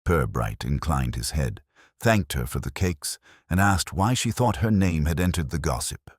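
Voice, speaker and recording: A man's voice: rumbling low, expressive, normal speed, slightly rough, dry recording, clean studio sound. A man narrating a scripted detective story as its storyteller.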